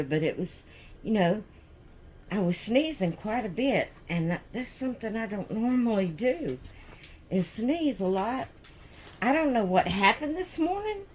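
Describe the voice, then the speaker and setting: A woman chatting casually in a home vlog, hoarse from sneezing, rambling with many fillers and restarts. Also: mid-range voice, a little hiss or hum. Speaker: doll channel vlogger. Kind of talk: casual chat. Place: at home indoors.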